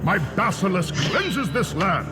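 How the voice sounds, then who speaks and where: A man's voice: high voice, big pitch swings, measured pace. A man playing a grandiose Persian conqueror king in a video game, theatrical and pompous in his delivery. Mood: haughty, mocking. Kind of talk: dramatic video game acting. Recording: loud orchestral music behind.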